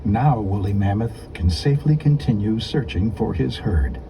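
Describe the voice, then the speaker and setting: A man narrating a children's storybook in an expressive, deliberate, scripted storytelling delivery. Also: fairly low pitch, gravelly, slight background noise. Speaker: audiobook narrator.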